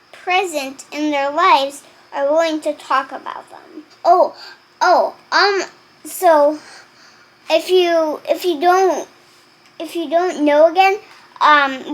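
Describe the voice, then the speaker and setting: A young girl reading aloud and chatting to viewers in a halting, deliberate way. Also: sits high, poor audio. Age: child.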